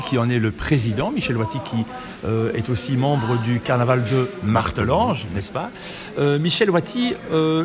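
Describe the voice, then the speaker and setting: Man hosting over crowd chatter. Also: mid-range voice. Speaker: radio interviewer, host. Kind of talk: radio interview presenting.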